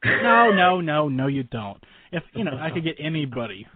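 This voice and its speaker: A man's voice: a little high, very animated, normal speed. A man hosting a casual interview, chatty and often repeating or interrupting himself. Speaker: talk show host, interviewer. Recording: dry, narrow phone-like sound.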